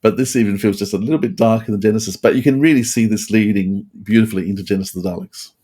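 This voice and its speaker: A man hosting a casual review chat, rambling and halting, often repeating his phrases. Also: fairly low pitch, steady tone, dark tone, breathy edge. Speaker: podcast host.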